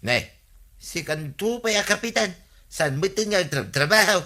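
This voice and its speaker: Sharp-voiced, wheedling village idler. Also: male voice, high-pitched, expressive, normal speed. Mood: whining, protesting. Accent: Ilocano. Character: idle, wheedling village man begging the captain for handouts. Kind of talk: Ilocano radio comedy drama acting.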